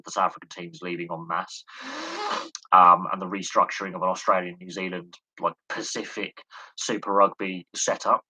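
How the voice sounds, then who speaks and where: A man on a live sports stream, hoarse and losing his voice, rambling with many fillers and repeated words. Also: deep voice, sharp tone, poor audio. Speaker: sports commentator answering questions.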